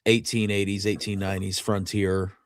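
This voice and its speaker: A man's voice: sits low, flat delivery, moderate pace, dry recording, studio quality. A man hosting a show in a casual livestream chat style. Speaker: show host.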